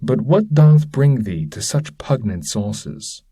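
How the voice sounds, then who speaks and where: A man's voice: medium pitch, expressive, slow, warm tone, breathy edge, dry recording, pitched above the performer's own voice. A man voicing an earnest poet pilgrim sternly questioning the damned in an epic poem.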